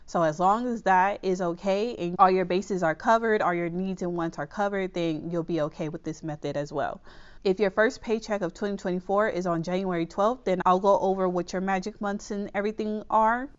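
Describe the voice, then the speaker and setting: A woman's voice: mid-range voice, fairly quick, clean tone, muffled recording. A woman hosting a budgeting channel, a little under the weather and slightly nasal, explaining a plan in a chatty, reassuring way and often checking in with "okay?". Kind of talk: casual explainer to camera.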